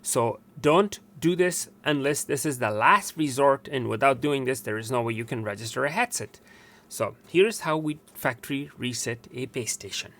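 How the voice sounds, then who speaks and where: A man's voice: mid-range voice, fairly bright tone. A man presenting a step-by-step technical tutorial in an instructional way, repeating steps for emphasis.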